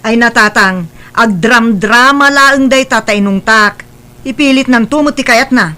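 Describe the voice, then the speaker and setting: A woman's voice: fairly high pitch, unhurried, pushed and bright. A woman voicing a harsh, strict middle-aged mother who speaks in a scolding, dismissive way.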